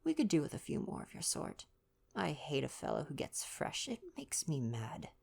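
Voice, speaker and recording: A woman's voice: deep voice, wildly swooping pitch, moderate pace, vocal fry, a little mellow, full character voice. A woman voicing a cheeky, slangy young American-born actress and acrobat in a read-aloud novel. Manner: slangy, brash, playful.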